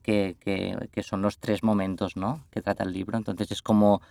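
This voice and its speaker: A man with a Catalan accent, a musician speaking in a halting way in an interview. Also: on the low side, little pitch movement, gravelly, darkish tone, no echo, clean studio sound. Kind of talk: interview conversation.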